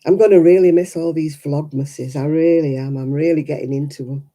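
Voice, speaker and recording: A British woman speaks in a very dark, muffled-warm tone with a husky, croaky edge, hoarse from being unwell. She chats informally as a livestreamer would, with dry, teasing humour aimed at her viewers. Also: deep voice, steady tone, fairly quick. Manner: wry, teasing. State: hoarse after illness. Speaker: livestreaming crafts vlogger. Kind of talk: casual chat to live viewers.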